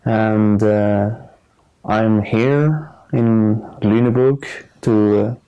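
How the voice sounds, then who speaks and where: A man's voice: lowish voice, extremely slow, clear, resonant tone, narrow, tinny audio. A young man from Sweden, a student, answering interview questions frankly and a little hesitantly. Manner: relaxed, frank, hesitant with fillers.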